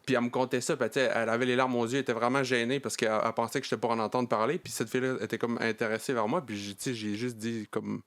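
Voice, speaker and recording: A man with a Quebec French accent, talking quickly and telling a story in a casual podcast discussion. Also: mid-range voice. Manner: fast, animated storytelling. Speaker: podcast guest.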